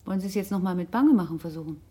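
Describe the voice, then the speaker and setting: A woman's voice: on the low side, rapid-fire, mellow, breathy edge. An actress playing a self-assured, talkative woman with an ironic, pointed way of speaking in a naturalistic radio drama. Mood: cool, dismissive. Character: self-assured, sardonic, independent older girlfriend of a runaway man.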